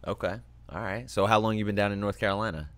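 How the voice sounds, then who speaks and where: A man's voice: on the low side, fairly even intonation, fast talker, fairly bright tone, dry recording. A young man hosting a casual interview from his streaming room, laid-back and swearing freely as he asks questions. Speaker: host. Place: indoor streaming room with gaming chair. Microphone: desk microphone on arm.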